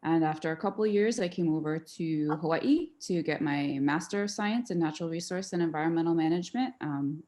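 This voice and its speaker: A woman's voice: low-pitched, a little mellow. A young woman from New Jersey giving an informal introduction as a program coordinator on a panel. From New Jersey, United States.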